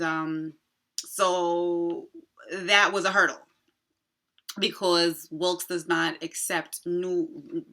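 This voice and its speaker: Bright-voiced New York woman chatting to camera. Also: fairly low pitch. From Long Island, New York. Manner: chatty and expressive, many fillers, playful asides. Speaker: nurse practitioner vlogger. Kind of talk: casual talk to camera. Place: small indoor room in front of a pink backdrop. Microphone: camera in front, head-and-shoulders framing.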